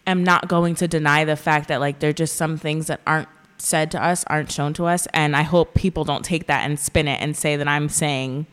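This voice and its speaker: A young woman co-hosting a podcast, speaking earnestly in a halting, casual way with many fillers. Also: low-pitched, fairly even intonation, fairly bright tone, smooth. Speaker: podcast co-host.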